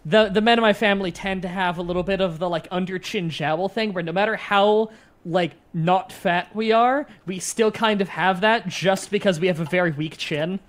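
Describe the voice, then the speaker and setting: A man in his twenties, loud and self-deprecating, swearing freely in casual gaming chat with a co-player. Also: sits high, normal speed. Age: 20s. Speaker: guest co-player.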